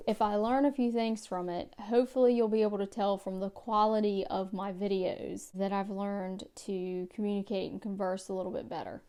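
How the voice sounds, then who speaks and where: A woman vlogger going through a book tag at home by her bookshelves, reading each question and answering in a careful, measured way. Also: mid-range voice, warm tone, smooth. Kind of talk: casual book tag vlog.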